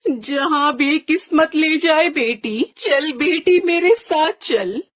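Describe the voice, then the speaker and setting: Woman doing a tired mother sparrow, high voice, slow and weary. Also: a bit bright, slight background noise. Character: weak, grieving mother sparrow.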